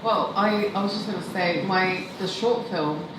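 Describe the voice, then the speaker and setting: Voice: mid-range voice, normal speed. A filmmaker of Tongan heritage speaking reflectively and loosely on a panel. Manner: reflective, loose, laughs while talking. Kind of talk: panel discussion answer. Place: event hall. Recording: echoey hall, distant mic, noise.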